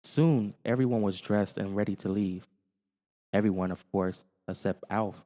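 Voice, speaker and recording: A man narrating a children's storybook in a theatrical, deliberate way. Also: lowish voice, warm tone. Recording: long reverb, music underneath. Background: music.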